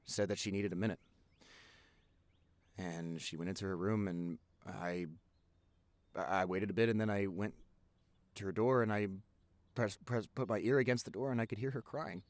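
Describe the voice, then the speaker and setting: A man playing a chatty, protective teenage boyfriend in an audio roleplay, rambling and halting as he reminisces, repeating words for emphasis. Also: lowish voice, steady tone, dry recording, close to an everyday voice. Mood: somber storytelling.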